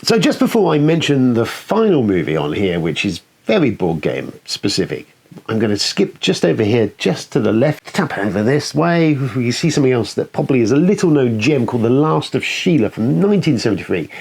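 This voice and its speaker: A man's voice: mid-range voice, big pitch swings, fairly quick, gravelly, a little mellow, husky. A man hosting a film review, talking casually in an enthusiastic, rambling, sweary way. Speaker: host of a film review show.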